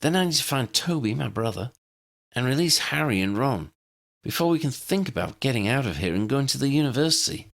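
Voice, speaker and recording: A man voicing an earnest, higher-pitched young female agent. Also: sing-song, brisk, creaky, a bit bright. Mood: urgent, determined. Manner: hesitant, deferential to superiors.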